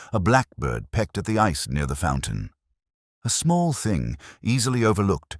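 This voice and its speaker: A man reading scripted literary detective fiction as narrator, in a measured, formal and brooding manner. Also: rumbling low, dry recording, studio quality.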